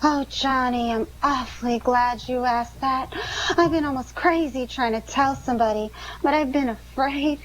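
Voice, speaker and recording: A woman's voice: high voice, fairly even intonation, moderate pace, a little hiss or hum, natural voice, low-quality recording. A woman playing an anxious, pleading young accomplice in trouble in a 1940s-style radio drama, with an earnest manner.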